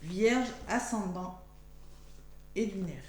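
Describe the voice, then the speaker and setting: A French woman close to losing her voice talks gently through a weekly tarot card reading. She thinks aloud and chats as she turns each card. The room is echoey, with a faint hum in the background. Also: medium pitch, slow pace. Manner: chatty, thinks aloud while drawing cards. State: losing her voice, talking softly.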